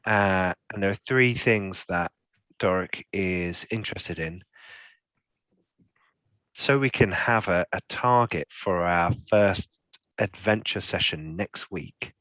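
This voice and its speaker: Low-voiced game master. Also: male voice, takes it slowly, fairly bright tone, no room sound. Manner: affirming, frequent throat clearing. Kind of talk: running a tabletop game session.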